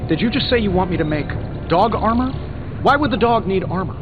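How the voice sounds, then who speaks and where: High-pitched, incredulous wisecracking hero. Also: male voice, fairly quick, highs cut off. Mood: incredulous, sarcastic. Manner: animated, sarcastic, colloquial. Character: wisecracking male hero, incredulous, brash and excitable. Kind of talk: video game character dialogue. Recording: thin band-limited game audio, music behind.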